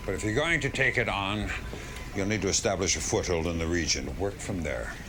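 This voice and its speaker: An actor playing a blunt, principled army general in a video game cutscene, with a stern, weighty delivery. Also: male voice, low-pitched, a little fast, background noise, close to an everyday voice. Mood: grave, measured.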